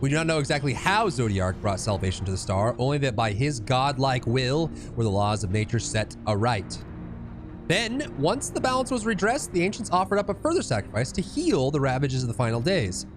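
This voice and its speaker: A man's voice: medium pitch, very animated, fairly quick, over music, close to an everyday voice. A man voicing a grave ancient witness in a fantasy game, recounting catastrophe in solemn, archaic storytelling style. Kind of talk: reading fantasy game dialogue aloud.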